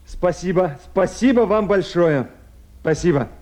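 A man's voice: high voice, expressive, unhurried, a little mellow, a little hiss or hum. An actor playing a courteous, businesslike man on night duty at a city office, asking probing questions in a radio drama. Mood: courteous, grateful. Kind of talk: 1970s Soviet radio drama acting.

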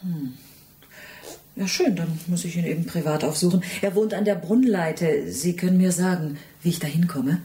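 Actress doing exasperated woman in radio drama, lowish mellow voice, roomy echo. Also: moderate pace, lightly acted. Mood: insistent. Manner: insistent, conversational. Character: persistent, exasperated female visitor seeking a friend. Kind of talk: radio play acting. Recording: roomy, echoing interior.

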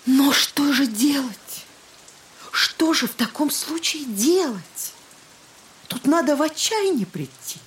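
A woman's voice: sits high, animated, slow pace, a little hiss or hum. An actress playing an anxious, emotional genteel lady who speaks with breathless fervour in a literary audio drama. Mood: despairing.

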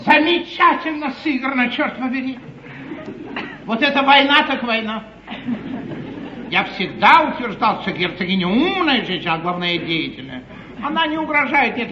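Witty, undaunted statesman, high and swooping voice, declamatory stage comedy, old noisy theatre recording. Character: witty, exuberant gentleman politician, combative and undaunted. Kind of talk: classical stage comedy acting. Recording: noisy, muffled, echoey 1950s theatre broadcast.

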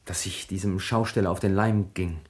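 A man with a fairly low voice acting as a defensive murder suspect in a 1960s radio crime play. He is evasive and sarcastic, brushing things off with scorn. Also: fairly even intonation, normal speed, natural voice. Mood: explaining, evasive. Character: evasive, scornful male pharmacist suspected of killing his aunt.

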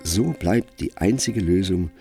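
Low voiced guy narrating a radio drama, literary, really varied intonation, string music behind. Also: vocal fry. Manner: measured, expressive storytelling.

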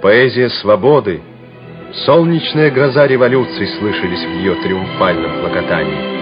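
A man narrating a Soviet war radio play in a measured, solemn, declamatory manner. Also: lowish voice, natural voice. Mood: lofty, reverent. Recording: dull vintage radio play recording, loud music. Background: music.

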